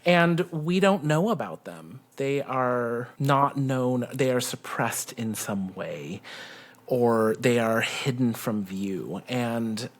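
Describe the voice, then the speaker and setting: A middle-aged man with an American accent, telling personal stories conversationally in an animated manner. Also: mid-range voice, slow pace. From South Texas, USA. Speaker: guest co-host.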